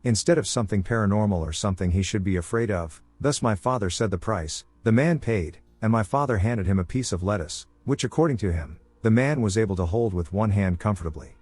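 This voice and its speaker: Low-voiced man reading horror stories. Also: breathy edge, dry recording. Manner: calm, measured storytelling. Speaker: narrator reading listener stories. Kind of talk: scripted horror story narration.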